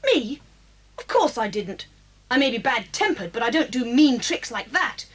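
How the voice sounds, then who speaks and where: High-voiced, defiant English schoolgirl. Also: sing-song, normal speed. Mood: defiant, indignant. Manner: emphatic, indignant. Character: defiant, bad-tempered schoolgirl outcast. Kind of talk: audio dramatisation of a children's book.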